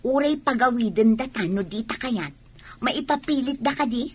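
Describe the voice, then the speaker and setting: A woman's voice: on the high side, normal speed, husky. An actress playing a headstrong young woman in love in a radio drama, with an Ilocano accent. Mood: indignant.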